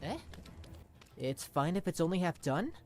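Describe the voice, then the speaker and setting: A woman playing an eager, wide-eyed young male hero in a comic dub, excitable and expressive. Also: fairly low pitch, normal speed, lightly acted. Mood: curious, amazed.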